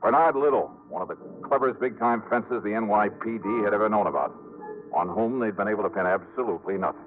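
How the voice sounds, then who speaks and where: A man plays a world-weary hardboiled investigator telling his case in clipped radio narration. His voice is very deep. The vintage recording is tinny, with music underneath. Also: fast, lightly acted. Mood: wry, tense. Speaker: detective narrator.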